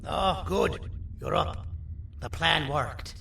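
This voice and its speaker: A man's voice: on the high side, slow, creaky, slight background noise, full character voice. A man playing an earnest, scheming monster doctor in a video game, talking persuasively and dramatically. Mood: hushed, wary. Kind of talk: video game character acting. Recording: roomy, distant, under loud scary music.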